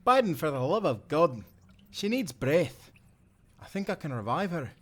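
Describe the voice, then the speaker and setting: A man's voice: on the high side, big pitch swings, moderate pace, slightly rough. Man playing earnest timberman sailor. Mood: urgent. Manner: earnest, old-time seafaring speech. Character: kind, principled male timberman sailor of the 1790s. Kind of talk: cinematic audio roleplay.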